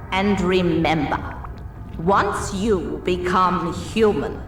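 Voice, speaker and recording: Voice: medium pitch, distant mic. An actor voicing a scheming old female sea witch in a cartoon fairy tale, theatrical and sardonic, with a drawling delivery. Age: older. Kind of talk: animated fairy tale character acting. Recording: echoey, off-mic, music underneath.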